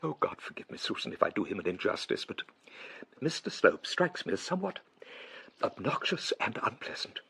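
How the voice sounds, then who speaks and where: An actor playing a gentle, self-doubting elderly English clergyman in a period radio drama, husky and hesitant, musing courteously. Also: fairly low pitch, sing-song, fairly quick, full character voice. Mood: troubled, confiding. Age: older.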